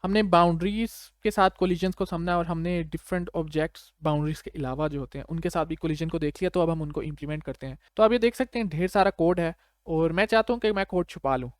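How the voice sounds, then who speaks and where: A Pakistani man speaks as a tutor in a slightly high voice. He explains things step by step in an informal, conversational way, often adding short check-in questions. The sound is close and dry, with very little room. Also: clean tone, dry recording. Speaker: programming tutor.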